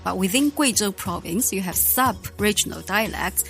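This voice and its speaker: A woman's voice: medium pitch, lively intonation, moderate pace, a bit bright, faint music behind. A woman from southwest China with a Chinese accent, a native Chinese speaker teaching language with simple, careful explanations. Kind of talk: conversational language lesson.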